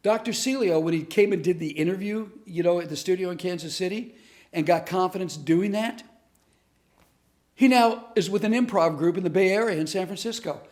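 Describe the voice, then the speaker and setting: A man teaching a lesson through true stories, told in an earnest, animated way. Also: high-pitched. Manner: earnest, animated storyteller who acts out quotes. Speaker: comedic speaker and teacher. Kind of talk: storytelling lesson to camera.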